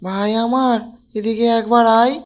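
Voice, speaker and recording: A woman's voice: a little high, steady tone, normal speed, close to an everyday voice. A woman voicing a gullible, hard-drinking husband in a cartoon comedy. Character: hapless husband, tipsy and gullible. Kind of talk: cartoon voice acting.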